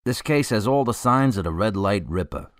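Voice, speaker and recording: An actor playing a casual, matter-of-fact police officer in a radio drama. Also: male voice, fairly low pitch, expressive, moderate pace, no echo, clean studio sound, natural voice.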